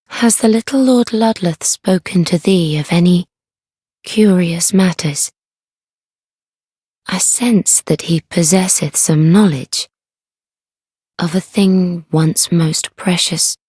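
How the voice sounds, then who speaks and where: A solemn young keeper of a sacred fire for a dark fantasy game. Her female voice is soft and fairly low, recorded dry and close. She delivers archaic, reverent lines at a slow, measured pace with quiet devotion. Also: dry recording. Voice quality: soft, breathy. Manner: hushed, measured, formal, reverent.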